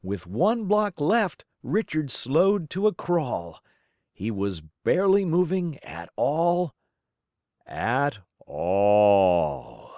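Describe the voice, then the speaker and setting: Very slow, animated woman storyteller. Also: a bass voice, dry recording, studio quality. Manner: theatrical, deliberate, rhythmic. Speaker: read-aloud storyteller. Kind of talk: expressive children's storybook narration.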